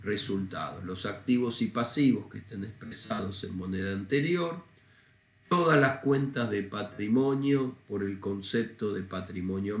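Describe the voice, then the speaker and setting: A man with a congested voice from a cold, teaching an accounting lesson step by step in an informal, didactic way. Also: mid-range voice. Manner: didactic, informal, thinking aloud. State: congested with a cold, often clearing throat. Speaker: accounting lecturer. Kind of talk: recorded lesson, explaining step by step. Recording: echoey room sound.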